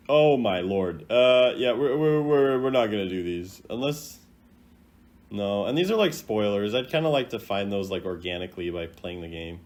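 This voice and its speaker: A man giving casual, chatty commentary on game voice lines in an animated, playful way. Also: medium pitch. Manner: animated, acts out imagined quotes in exaggerated voices. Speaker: gaming content creator.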